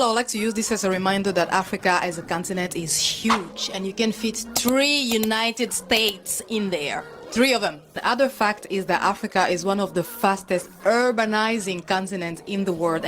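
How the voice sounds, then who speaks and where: A woman from Congo giving conversational personal commentary in a candid, humorous and emphatic way. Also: mid-range voice. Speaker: online commentator. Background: music.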